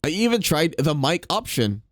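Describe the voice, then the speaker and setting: A man's voice: on the high side, very animated, moderate pace, dry recording, studio quality. A man hosting a how-to tutorial, narrating scripted steps in a sing-song instructional way. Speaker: tutorial host.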